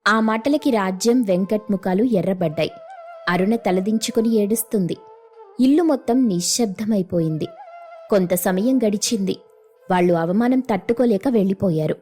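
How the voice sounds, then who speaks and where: A woman's voice: mid-range voice, normal speed, dark tone, faint music behind. A woman narrating a scripted moral story as a storyteller.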